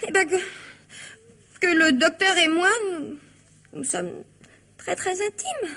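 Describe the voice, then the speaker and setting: An actress playing a melodramatic, cajoling unfaithful wife in a French radio comedy-drama, theatrical and hesitant. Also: female voice, extremely high pitch, takes it slowly, gravelly, pushed and bright, heavily acted. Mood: coy, tearful. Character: coy, melodramatic unfaithful wife, sweetly wheedling.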